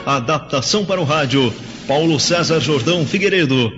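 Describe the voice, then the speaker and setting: A man with a fairly high voice reads out the credits of a radio drama in a measured, formal way. His voice carries a long reverb and sounds slightly muffled, with music underneath. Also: expressive, a bit bright. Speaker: credits announcer. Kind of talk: reading production credits.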